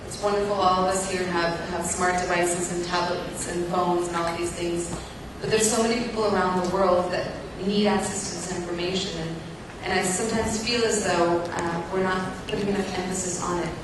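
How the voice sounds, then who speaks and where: A woman's voice: on the low side, steady tone, moderate pace. A woman in her twenties speaking thoughtfully and unscripted as a participant in a conference discussion. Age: 20s. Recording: roomy, distant mic, noisy hall.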